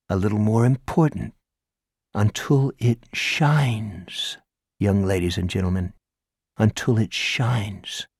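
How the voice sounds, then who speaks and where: A man voicing a theatrical, flamboyant high school English teacher who declaims and lectures with grand emphasis. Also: a little mellow, no room sound, studio quality. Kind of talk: audiobook character voice.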